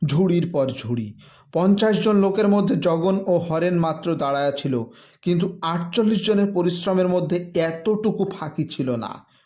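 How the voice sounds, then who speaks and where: A man reads a literary story in a theatrical, measured, emphatic narration. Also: fairly high pitch, warm tone. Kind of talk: dramatic literary audiobook-style narration.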